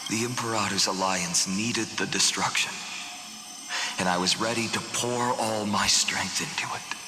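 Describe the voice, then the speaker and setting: An actor playing a grave, weary swordsman burdened by fate, speaking in measured, dramatic video game dialogue that sometimes drops toward a whisper. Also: on the low side, fairly even intonation, echoey room, big-room sound, music in the background, lightly acted, low-quality recording. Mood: solemn, reflective.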